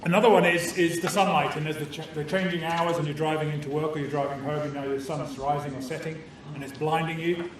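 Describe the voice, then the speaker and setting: A man's voice: fairly high pitch, fairly even intonation, fast talker, few pauses, a crowd in the background. A man hosting a club meeting, cheerful and bantering as he opens the evening with jokes and a safety talk. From new Canadian citizen. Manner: cheerful, bantering, self-deprecating. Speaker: meeting host opening a club meeting. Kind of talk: jokey public-speaking address to a club audience. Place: meeting room with a live audience. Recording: echoey room, far-off mic, noisy.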